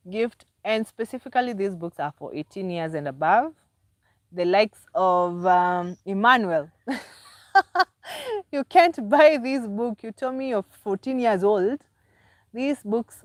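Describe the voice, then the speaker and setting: A woman's voice: medium pitch, no room sound, clean studio sound. A Kenyan woman hosting a casual livestream, chatty and repetitive as she greets viewers by name and promotes her books. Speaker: livestream host and author.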